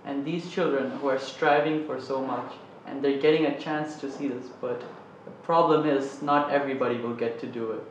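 A man's voice: mid-range voice, fairly even intonation, normal speed, few pauses, a little hiss or hum. A man on a student panel making an earnest, argumentative case. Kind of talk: opinionated discussion. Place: studio with panel on a couch. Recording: echoey, slightly dull studio sound.

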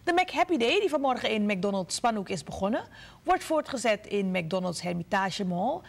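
A woman from Suriname reading the news in a measured, formal way from a studio. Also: medium pitch, sing-song, creaky. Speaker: news anchor. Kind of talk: scripted news narration.

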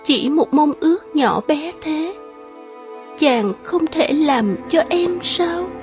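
A woman voicing a gentle young woman in love in an animated folktale, deferential and yearning. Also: high voice, takes it slowly, over music. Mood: pleading.

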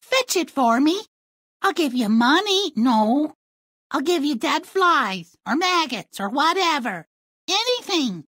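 A whiny young princess from a fairy-tale game. Her extremely high voice rises and falls melodramatically, spoiled and dim, drawing out her petulance at a slow pace. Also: sing-song, fairly bright tone, dry recording, studio quality, heavily acted. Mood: tearful, petulant. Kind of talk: video game fairy-tale character acting.

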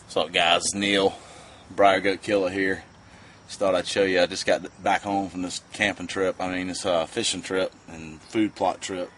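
A man's voice: low-pitched, little pitch movement, normal speed, fairly bright tone. A man in his forties, easygoing and folksy, talking through a casual how-to vlog on an outdoor deck to a camera a few feet away. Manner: easygoing, folksy, plain-spoken. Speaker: fishing vlogger. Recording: faint outdoor noise.

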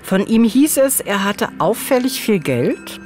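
A woman's voice: medium pitch, big pitch swings, a little slow, darkish tone. A woman in her forties co-presents a crime story. Her narration is scripted yet conversational. She speaks into a studio microphone, with music in the background. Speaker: true-crime show co-presenter. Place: indoor radio studio. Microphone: studio boom microphone.

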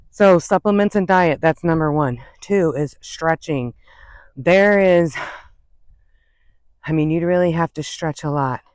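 A woman of about fifty-four talks casually to a camera held at arm's length. She gives advice as a hiking vlogger in a conversational way, drifting into small asides. The sound is dry and open, recorded outdoors with no echo. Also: sits low. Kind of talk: casual chat to camera, giving tips. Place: outdoors on an open woodland and prairie trail. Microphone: phone or camera at arm's length.

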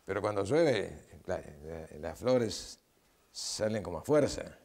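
Lively older male TV host. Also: deep voice, slow pace, vocal fry. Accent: Argentine Spanish. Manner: coaxing, playful, repeats short questions. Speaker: TV matchmaking show host. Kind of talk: studio interview and address to camera. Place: TV studio set. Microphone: lapel.

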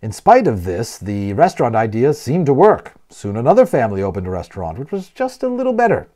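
A man reading a children's novel aloud as a storyteller, expressively and with deliberate emphasis on key words. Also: medium pitch, wildly swooping pitch, mellow. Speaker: audiobook narrator and storyteller.

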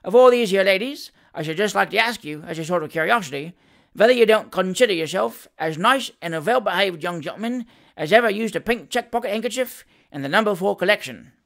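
A man's voice: sits high, expressive, a little fast, creaky, fairly bright tone, exaggerated voice. A man voicing a gleeful, teasing young manservant with jovial, mock-friendly chatter in a storybook reading. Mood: mock-polite.

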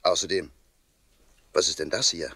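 A man's voice: low-pitched, fairly even intonation, normal speed, bright, forceful tone. An actor playing a good-humoured but firm, mock-stern father in a children's radio play, with faint hiss behind.

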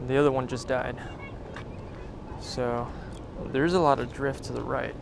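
A man's voice: mid-range voice, steady tone, a little slow, creaky. A man in his twenties chatting casually and in slang as he films a fishing vlog outdoors. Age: 20s. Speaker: fishing vlogger. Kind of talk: casual vlog chat and commentary. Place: outdoors by the water at a pier and shore. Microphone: action camera or handheld camera. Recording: heavy wind and outdoor noise. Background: loud wind, outdoor ambience.

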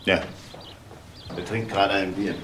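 An actor playing a cool, evasive husband and boss, curt and unruffled in a crime radio drama. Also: male voice, low voice, level tone, measured pace, a bit bright. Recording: roomy, distant, very noisy sound.

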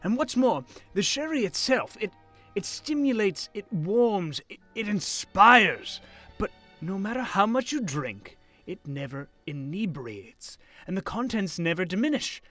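A man plays an elderly professor in a radio-style drama, genial and easily amazed. His fairly high voice swoops up and down as he gushes and stammers. Music plays underneath. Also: big pitch swings, measured pace, bright, forceful tone, exaggerated voice. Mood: eager delight. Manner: effusive, stammering with delight. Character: excitable elderly male professor, genial and marvelling. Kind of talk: audio drama acting.

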